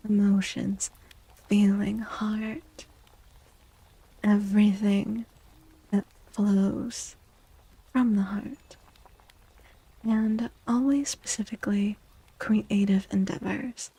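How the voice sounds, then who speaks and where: A soft-spoken woman livestreaming an ASMR tarot reading, gentle and soothing, with a breathy voice that often slips into a whisper. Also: medium pitch, flat delivery, no echo, poor audio. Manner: gentle, soothing, unhurried reassurance.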